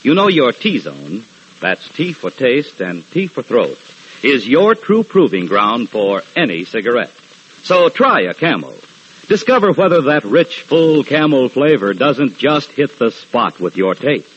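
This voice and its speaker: A man's voice: medium pitch, sing-song, unhurried, fairly bright tone, slightly rough. A man reading announcements and advertising in a polished 1940s radio style. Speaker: radio announcer and sponsor spokesman. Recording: dull, lo-fi old radio recording.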